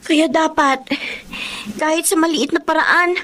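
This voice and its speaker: An actress playing a dutiful, caring young student daughter in a Filipino radio drama, respectful, earnest and worried. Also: female voice, high voice, steady tone, a little slow, a bit bright. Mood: earnest, resolved. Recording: faintly noisy radio drama recording.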